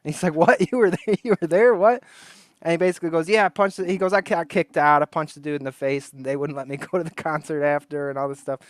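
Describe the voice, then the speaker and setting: A man's voice: on the high side, steady tone, fast, no room sound, studio quality. A man on a casual podcast, rambling through a story, laughing often and acting out the people he quotes. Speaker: podcast co-host.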